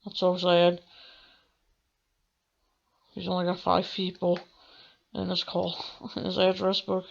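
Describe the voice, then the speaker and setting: A woman's voice: on the low side, completely flat delivery, slow pace, creaky, long pauses, lo-fi sound. A young woman let's player reading visual novel text aloud, expressively and with the odd stumble. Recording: game music behind the voice. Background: video game music.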